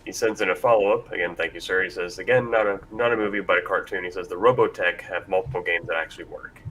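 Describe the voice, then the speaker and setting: A man's voice: sits low, steady tone, quick pace, fairly bright tone, rough recording. A man hosting a casual panel discussion in a rambling, self-deprecating way. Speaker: panel host. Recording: faint background noise.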